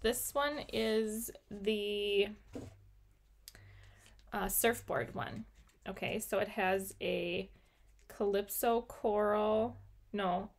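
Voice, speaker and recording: A woman's voice: medium pitch, creaky. A young woman teaching a live card-making class at her craft desk, chatting viewers through each step. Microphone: overhead camera on desk, mic off screen.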